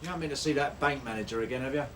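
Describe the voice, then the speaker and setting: A man playing a world-weary, hard-nosed detective inspector, gruff and sardonic, in a TV police drama. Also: medium pitch, very fast, natural voice. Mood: brisk. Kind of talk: naturalistic TV police drama acting. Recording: slightly distant, noisy TV drama sound.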